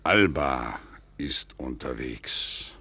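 A grave, wary prince in a classical radio play. His voice is extremely low and swings widely in pitch as he weighs his words with deliberate, declamatory gravity. The sound is dry and close. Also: animated, bright, forceful tone, slightly rough, no echo, exaggerated voice. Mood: grim, certain. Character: grave, shrewd, wary prince urging caution. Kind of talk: classical German stage drama for radio.

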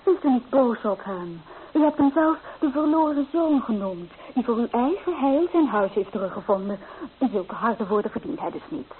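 A woman playing an excitable, proud young engaged granddaughter in a radio play, gushing and coaxing. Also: a little high, normal speed, close to an everyday voice. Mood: soothing, coaxing. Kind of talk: Dutch radio play acting. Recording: tinny old radio recording with hiss.

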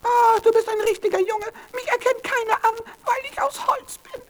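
A woman's voice: fairly even intonation, moderate pace. A woman playing a naive, impulsive little wooden puppet boy with a childlike voice in a children's radio play. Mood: naive, wistful. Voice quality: childlike, squeaky. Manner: pleading, impulsive.

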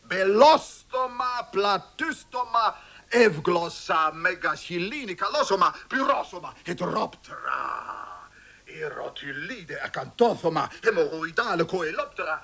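An actress playing a fervent reciter of Latin insect names in experimental music theatre, declaiming with exaggerated stresses and savoring each syllable. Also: female voice, lowish voice, very animated, unhurried, fairly bright tone, full character voice. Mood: intense, incantatory.

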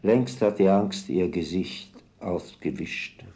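A man's voice: low-pitched, slow pace, warm tone. An actor playing a despairing old deported man who addresses an accusing monologue to God. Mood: anguished, accusing. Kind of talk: slow dramatic monologue.

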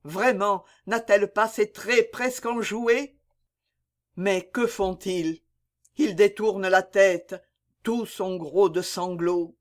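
Young basket weaver in despair, pleading and impassioned, high voice, slow, as a man reads him. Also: fairly even intonation, a bit bright. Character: grieving young basket weaver, desperate lover. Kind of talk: dramatic poem reading.

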